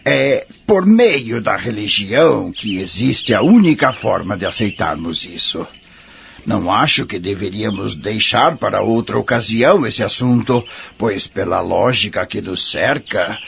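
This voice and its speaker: A male actor voices a wise, devout old man with a Brazilian accent. His mid-pitched voice is creaky and moves expressively, patient and gently preaching. It is a radio drama with slightly dull, lo-fi sound. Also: lively intonation, unhurried, slight background noise, heavily acted. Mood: earnest. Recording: dull lo-fi audio drama recording.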